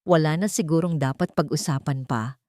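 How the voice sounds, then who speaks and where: A woman's voice: sits low, normal speed, a little mellow, no echo, studio quality, close to an everyday voice. A woman with a Filipino accent voicing a polite, persuasive, scheming male antique seller in an audio story. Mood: pressuring.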